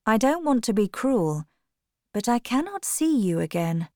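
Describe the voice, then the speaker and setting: A woman's voice: fairly high pitch, very animated, measured pace, darkish tone, no room sound, studio quality, pitched above the performer's own voice. A woman voicing a proud young man who speaks coldly and cruelly. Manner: cold, curt.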